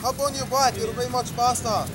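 A man's voice: very high-pitched, normal speed. A young man with a cheeky, mocking manner, casually heckling people outdoors on a beach. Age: young adult. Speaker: prankster in a vlog. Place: open sandy beach by a bike path. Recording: outdoor camera, loud beach and wind noise.

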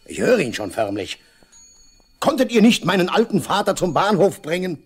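An actor playing a bossy, exasperated village official in a 1970s East German radio drama, interrogating and blustering. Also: male voice, high-pitched, sing-song, moderate pace, breathy edge, soft background music, full character voice.

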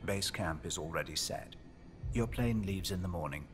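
A man acting in a video game as an older, wealthy, shadowy businessman, coolly persuasive, measured and formal in his speech. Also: sits low, music in the background, lightly acted.

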